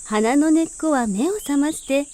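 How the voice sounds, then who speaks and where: High-voiced woman telling a children's fairy tale. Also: moderate pace, warm tone. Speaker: storyteller.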